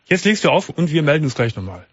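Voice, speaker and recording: A man hosting a late-night radio call-in show, giving callers calm, direct advice with frequent restarts. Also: a little high, fast talker, husky, highs cut off. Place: radio studio.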